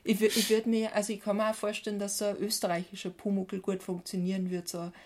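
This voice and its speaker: A woman with an Austrian accent and a medium-pitched voice, talking as a podcast co-host. Her delivery is casual and conversational, with playful interjections. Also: flat delivery, quick pace, a little mellow.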